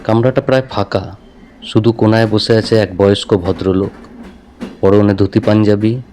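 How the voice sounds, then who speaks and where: A man's voice: fairly low pitch, fairly even intonation, moderate pace, warm tone, slight background noise. A man giving a scripted first-person narration of a horror story. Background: music.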